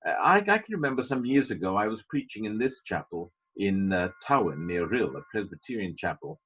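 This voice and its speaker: A man's voice: fairly low pitch, very animated, a little fast, fairly bright tone, a little hiss or hum. A man preaching a sermon as a pastor, emphatic, with wry asides. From Britain. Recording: dull lo-fi sermon recording.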